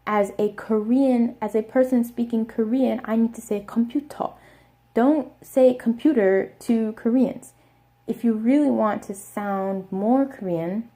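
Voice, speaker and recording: A young woman with an American accent explaining pronunciation step by step in a casual video lesson, repeating words slowly. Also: on the high side, warm tone. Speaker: language teacher.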